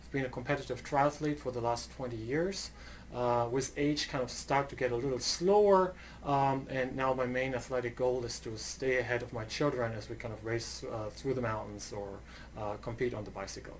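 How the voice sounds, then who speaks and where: A man's voice: mid-range voice, moderate pace, a little hiss or hum. A middle-aged man from Germany with a German accent, a professor giving an earnest online course lecture with frequent fillers and restarts.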